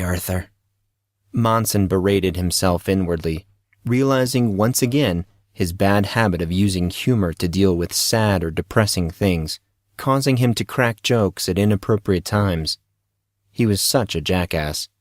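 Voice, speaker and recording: A man's voice: low-pitched, unhurried, no room sound. A man reading scripted fiction narration as an audiobook narrator, close to the mic.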